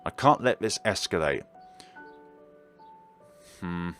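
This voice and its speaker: Man, deep voice, opinionated game commentary, faint music behind. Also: expressive, slow pace, a bit bright. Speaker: gaming YouTuber. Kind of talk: reading and commenting on a visual novel.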